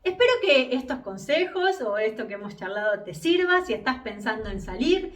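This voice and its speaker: A woman's voice: a little high, sing-song, normal speed, reverberant, recorded from a distance. Reflective Argentine woman in her fifties. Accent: Argentine Spanish. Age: 50s. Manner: reflective, many hesitations and restarts. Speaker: vlogger. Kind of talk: casual advice monologue to camera.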